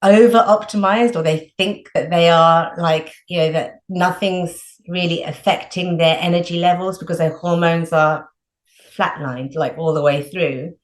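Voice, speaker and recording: A woman's voice: lowish voice, fairly even intonation, a little slow. A woman health coach giving casual advice as an interview guest, chatty and full of "like" and "you know". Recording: roomy echo.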